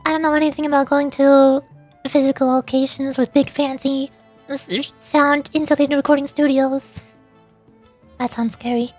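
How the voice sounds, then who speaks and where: High-voiced chatty woman streamer. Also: level tone, moderate pace, a little mellow, faint music behind. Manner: chatty, rambling, self-deprecating. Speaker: VTuber streamer. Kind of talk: casual stream chat while voice acting over a game. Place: home. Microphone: USB desktop microphone.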